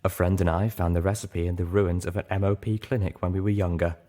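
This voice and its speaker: A man's voice: low voice, flat delivery, fast, warm tone, natural voice. A man voicing a worldly young pony mare who explains things frankly and matter-of-factly in an audiobook. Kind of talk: audiobook character dialogue.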